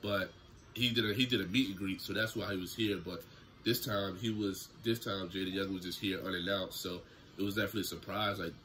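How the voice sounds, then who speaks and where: A young man telling a story to camera in a relaxed, slangy way from a small room at home. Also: deep voice, fairly quick, slight background noise. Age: young adult. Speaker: YouTuber. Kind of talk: casual story time vlog. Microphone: camera close in front, seated.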